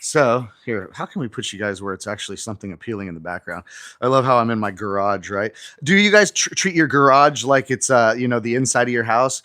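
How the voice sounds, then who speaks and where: A man's voice: mid-range voice, expressive, brisk, sharp tone, no echo, studio quality. A man in his forties hosting a casual live stream from his garage gym, chatting with viewers and reading out their comments while he stretches. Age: 40s. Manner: chatty, talks straight to viewers, reads out comments. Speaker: livestream host. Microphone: phone camera on an auto-tracking mount.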